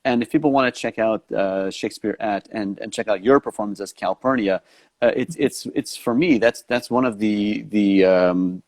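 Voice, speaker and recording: A low-pitched man hosts an online interview from home, his questions wandering and halting as he works toward a point. The sound is dry and close, with no room around it. He talks over a video call. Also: a little mellow, dry recording. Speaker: talk show host.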